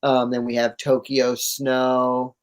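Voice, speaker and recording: A man's voice: mid-range voice, completely flat delivery, slow pace, clean tone. A man talking casually and enthusiastically as an interview guest, in laid-back, slangy language. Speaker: interview guest, cannabis grower.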